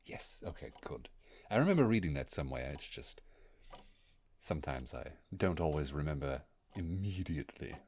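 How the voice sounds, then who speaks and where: An extremely deep-voiced ancient beast of learning, played by a man, speaks in grand, wordy phrases. The creature is bookish and socially awkward, hesitating as it tries to impress. It is an intimate roleplay monologue with faint noise behind the voice. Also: very animated, normal speed, a little mellow, heavily acted. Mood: flustered, musing. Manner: pompous wordy diction with fumbling hesitations. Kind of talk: immersive roleplay audio monologue.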